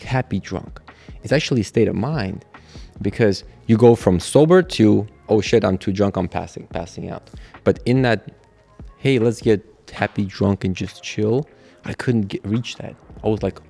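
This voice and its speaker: Gravelly low-voiced man from Russia. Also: moderate pace, dark tone, soft background music. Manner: reflective, candid storytelling. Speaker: guest, catering business owner. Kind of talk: casual podcast conversation.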